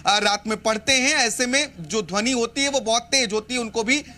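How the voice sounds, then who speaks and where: An Indian man giving a live news report in a formal, explanatory way, with halting restarts. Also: very high voice, bright, forceful tone, a little hiss or hum.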